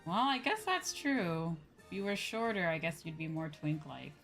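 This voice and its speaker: Low-pitched woman chatting casually over game music. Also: sing-song, moderate pace, a bit bright. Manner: informal, rambling, slangy, mildly profane. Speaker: livestreamer, VTuber host. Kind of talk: casual chat while playing a game, answering viewers. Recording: music playing behind the voice.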